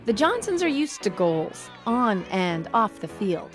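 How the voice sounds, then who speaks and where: An American woman narrates a scripted TV news feature as a reporter. Her pitch sits in the middle range, with music clearly playing behind her. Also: sing-song, a little slow.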